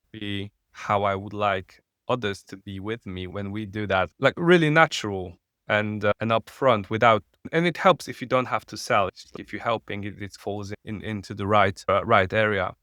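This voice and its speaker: A man with a Polish accent, a non-native English speaker, talking as a podcast guest, halting and searching for words. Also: on the low side, fairly bright tone, no echo, clean studio sound. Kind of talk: podcast interview.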